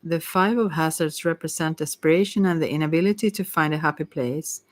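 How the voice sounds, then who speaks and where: Low-voiced woman giving a soft tarot reading. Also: fairly quick. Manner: soft-spoken, rambling, hesitant, giggly asides. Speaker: tarot reader and ASMR host. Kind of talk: casual ASMR tarot reading.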